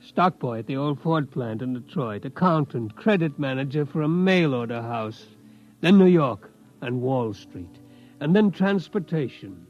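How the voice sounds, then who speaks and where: An actor playing an obsessed, determined old tycoon in a 1950s radio drama, reminiscing and insistent. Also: male voice, mid-range voice, lively intonation, measured pace, faint music behind, lightly acted. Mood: wistful, defiant. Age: older. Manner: reminiscing, insistent, tags sentences with "hmm?". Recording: dull 1950s radio recording.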